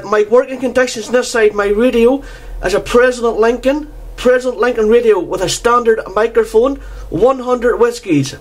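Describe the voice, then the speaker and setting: A man with a Northern Irish accent talking as an amateur radio operator, courteous and deliberate, repeating key phrases over two-way radio. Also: extremely high pitch. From Northern Ireland. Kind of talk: radio contact exchange with call signs and phonetic spelling.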